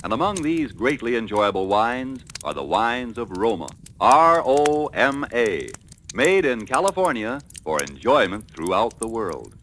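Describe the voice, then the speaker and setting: A man's voice: mid-range voice, very animated, unhurried, a bit bright. A man with an American accent reading a scripted 1940s radio commercial as announcer. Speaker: advertising announcer. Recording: faintly crackly vintage radio recording.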